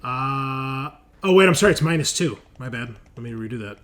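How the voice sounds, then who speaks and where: A man's voice: medium pitch, expressive, moderate pace, a bit bright. A man running a tabletop game as game master, informal and sweary, thinking aloud as he goes. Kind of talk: casual tabletop game refereeing. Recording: voice over constant music. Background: music.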